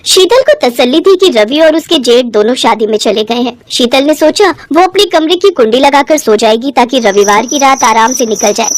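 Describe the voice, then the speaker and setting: High-pitched woman storyteller. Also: animated, moderate pace, few pauses. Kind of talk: scripted bedtime story narration.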